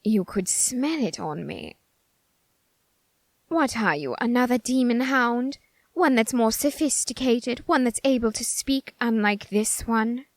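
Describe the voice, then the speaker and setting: A woman's voice: fairly high pitch, animated, a little slow, no echo. A woman performing a flamboyant, haughty and easily exasperated supernatural character in an ASMR roleplay, with a theatrical, condescending, sarcastic drawl. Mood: intrigued, condescending.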